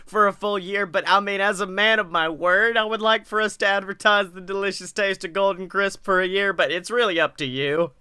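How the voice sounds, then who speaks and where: Excitable young man, very bright high voice. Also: fairly quick, few pauses, clean tone, no room sound, clean studio sound. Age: young adult. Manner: excitable, hyped-up, playful. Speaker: podcast host. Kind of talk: casual chat on a wrestling podcast. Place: small room at home, on a video call.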